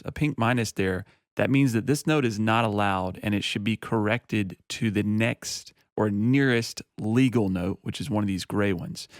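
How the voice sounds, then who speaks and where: A man with a deep voice explaining audio software in a relaxed, casual tutorial manner. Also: no room sound, studio quality. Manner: relaxed, explanatory, uses fillers like "you know".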